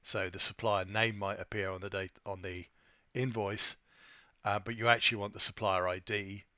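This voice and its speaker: A man presenting an informal software tutorial, thinking aloud in a somewhat hesitant way as he demonstrates. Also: lowish voice, little pitch movement, moderate pace, no echo, clean studio sound. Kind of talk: informal screen-share walkthrough.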